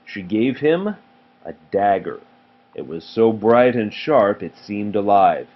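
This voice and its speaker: Male storybook reader, parent reading to child, lower pitch, muffled home recording. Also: lively intonation, slow pace. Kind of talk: storybook read-aloud narration.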